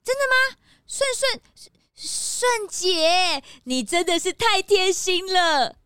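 A woman voicing an excitable, food-loving young detective's sidekick in a children's audio drama. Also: extremely high pitch, takes it slowly, no room sound, clean studio sound, full character voice. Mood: delighted.

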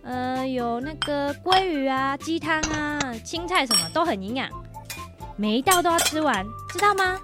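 A woman playing a brisk, nagging but caring mother in a radio drama, with a Taiwanese Mandarin accent. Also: high voice, expressive, loud music behind the voice. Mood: instructing, chiding. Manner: bossy, coaxing.

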